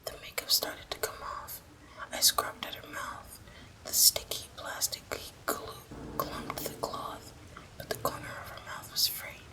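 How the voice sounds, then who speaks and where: A woman tells a creepy tale in a breathy whisper, in the manner of an ASMR storyteller. Her delivery is hushed and deliberate, with almost no voiced sound at all. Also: poor audio.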